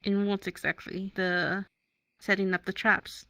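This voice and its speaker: Female roleplayer as town medic: medium pitch, matter-of-fact, slightly hesitant, dry close sound. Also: little pitch movement, a little slow, sharp tone, dry recording. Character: town medic tending a wounded hunter. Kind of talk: improvised roleplay dialogue.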